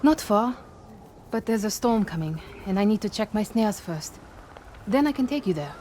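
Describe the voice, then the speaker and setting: A humble peasant woman, played by an actress in a game cutscene. She speaks softly and deferentially at a medium pitch, with faint ambience behind her. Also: expressive, moderate pace, a little hiss or hum, natural voice. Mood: timid, helpful. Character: polite, soft-spoken peasant woman, humble and helpful. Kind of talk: video game cutscene acting.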